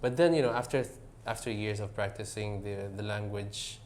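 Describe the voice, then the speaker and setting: Middle-aged emirati guy being interviewed, lowish voice, lots of ums, faint hiss behind. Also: normal speed, a little mellow. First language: Arabic. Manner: hesitant, many fillers, mixes English and Tagalog. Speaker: guest. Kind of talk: casual interview answers.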